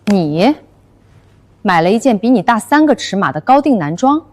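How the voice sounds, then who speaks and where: An actress playing a stern, officious manager in an animated short drama, formal and accusatory like a bureaucrat. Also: female voice, medium pitch, moderate pace, dry recording, lightly acted. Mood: suspicious, accusing.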